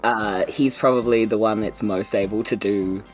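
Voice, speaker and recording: A woman's voice: very deep voice, fairly even intonation, normal speed, low-quality recording. A woman with a New Zealand accent chatting and joking as a player in a tabletop game, in a playful, hesitant way. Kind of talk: casual joking game chat. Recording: music playing behind the voice. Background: music.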